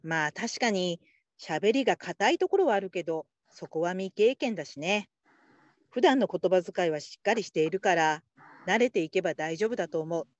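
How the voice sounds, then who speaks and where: Woman acting a lively-pitched, patient female supervisor. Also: moderate pace, no room sound, dull audio, close to an everyday voice. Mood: composed, firm. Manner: calm, encouraging, politely instructive. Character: patient, supportive female call-centre supervisor. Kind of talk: Japanese radio drama acting.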